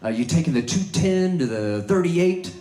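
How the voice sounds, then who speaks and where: A male comedian doing a loud, punchy stand-up set, breaking into sung lines. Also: a little high, expressive, moderate pace, reverberant.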